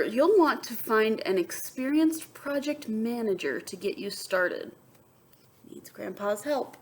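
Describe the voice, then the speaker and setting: A woman teacher reading a picture book aloud to young students, expressive, moving between emphatic reading and soft, wondering asides. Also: on the high side. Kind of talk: children's read-aloud with asides and questions to students.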